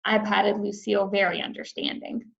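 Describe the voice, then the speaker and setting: A woman reading a children's chapter book aloud in an expressive narrating style. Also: mid-range voice, normal speed, creaky, muffled recording. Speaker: read-aloud reader.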